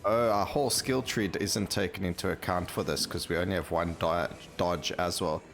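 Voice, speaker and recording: A man's voice: low-pitched, steady tone, moderate pace, creaky, a bit bright, faint music behind. A man giving casual gameplay commentary as the host of a gaming video.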